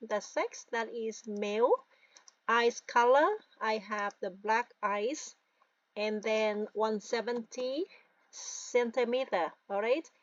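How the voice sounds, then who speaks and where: A woman with a Vietnamese accent leads a patient screen-recorded tutorial, pitched a little high and often checking in with her viewers. Her voice is dry and close, with a slightly dull, muffled sound. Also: no room sound. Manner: frequent "all right?" and "guys", patient step-by-step. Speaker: tutorial presenter. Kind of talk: screen-recorded form walkthrough.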